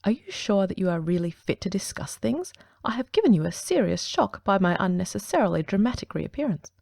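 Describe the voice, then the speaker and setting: A woman voicing a calm, precise middle-aged male detective who tells his tale in a measured, composed way in a dramatic audiobook reading. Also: medium pitch, creaky, dry recording. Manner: measured, dry, composed storytelling.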